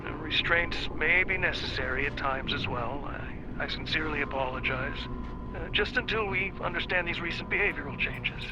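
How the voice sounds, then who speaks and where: An actor playing a nervously polite research scientist in a video game, hesitant and officially reassuring, on a muffled recording. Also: male voice, a little high, moderate pace, noisy background, lightly acted. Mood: uneasy, apologetic.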